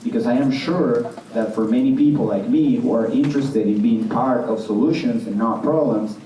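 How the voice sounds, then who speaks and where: A man interpreter reading a translated testimony aloud at a city hearing, steady and careful. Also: mid-range voice, unhurried, a little hiss or hum. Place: public meeting hall. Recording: echoey hall, distant mic.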